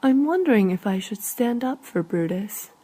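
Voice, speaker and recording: A woman reading her own literary short story in a measured, understated way. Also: on the high side, lively intonation, warm tone.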